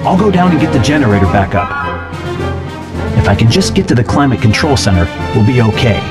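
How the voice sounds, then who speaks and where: A man's voice: mid-range voice, big pitch swings, fairly quick, natural voice. A man playing a young heroic fox squad leader, cool, confident and determined, in a video game. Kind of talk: video game cutscene acting. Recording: loud game music underneath.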